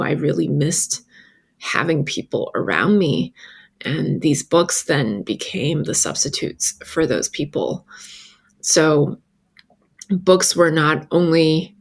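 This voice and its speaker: A woman from China and New York, a native Chinese speaker, speaking as an interview guest in a measured, articulate, reflective way. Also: low voice. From born in northern China, raised partly in New York. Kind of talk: reflective personal storytelling in an interview.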